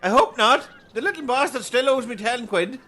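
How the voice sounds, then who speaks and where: A man doing a shrill, brash comedy character. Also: lively intonation, normal speed, sharp tone, heavily acted. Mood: callous, indignant. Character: brash, callous, money-grubbing cricket-playing character in a comedy. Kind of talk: scripted comedy audio drama. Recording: faint background noise.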